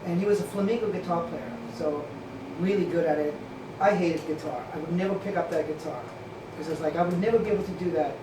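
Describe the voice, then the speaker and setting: A man's voice: fairly high pitch, fast. Italian-accented man, echoey room. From Italy. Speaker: guitarist guest. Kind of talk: casual chat. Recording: echoey room, distant mic, background noise.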